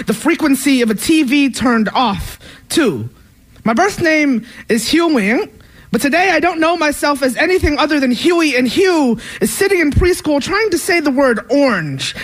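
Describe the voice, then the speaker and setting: Young male spoken word poet, high voice, rhythmic and emphatic delivery. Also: a bit bright, poor audio. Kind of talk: spoken word poetry performance.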